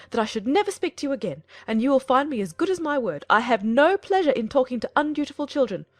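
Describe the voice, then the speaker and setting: A woman's voice: a little high, no echo. A woman playing a self-pitying, nagging middle-aged mother in a dramatized audiobook, theatrical and insistent.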